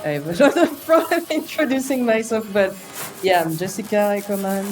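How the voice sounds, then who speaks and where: French-accented woman musing over soft music. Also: medium pitch, expressive, brisk. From France. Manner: hesitant, thoughtful, many fillers and restarts. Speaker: interview guest, sound artist. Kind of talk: reflective conversational interview.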